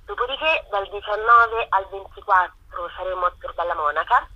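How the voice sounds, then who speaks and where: A woman's voice: medium pitch, moderate pace, sharp tone, muffled recording. A woman giving spontaneous, explanatory answers in an interview, speaking with enthusiasm as an organiser. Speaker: festival organiser being interviewed.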